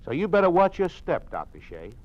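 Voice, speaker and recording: A man's voice: fairly high pitch, huge pitch swings, brisk. A man playing a sly, smugly threatening party functionary with a cryptic, insinuating manner, in an old-time radio drama. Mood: veiled menace. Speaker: actor. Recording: dull, lo-fi old radio recording.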